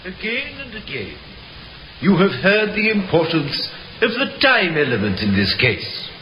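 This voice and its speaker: A solemn judge addresses the court in formal, oratorical phrases. His high voice rings with echo over the hiss of an old radio drama recording. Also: wildly swooping pitch, measured pace, sharp tone, full character voice. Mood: solemn, emphatic. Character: solemn, emphatic male judge addressing jury and prisoner. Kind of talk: 1950s radio drama acting.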